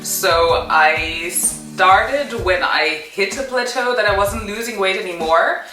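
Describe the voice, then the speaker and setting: A woman's voice: medium pitch, slow pace, bright, forceful tone, soft background music. Chatty woman in an echoey room. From Zimbabwe. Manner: chatty, many fillers and "kind of". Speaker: vlogger. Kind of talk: personal story vlog.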